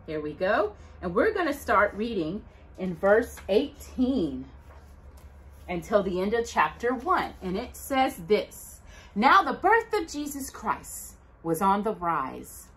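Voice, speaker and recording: A middle-aged woman hosting a children's storytime, reading aloud in an expressive, sing-song way to young listeners. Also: medium pitch. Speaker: storytime host. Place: indoors at home by a brick fireplace.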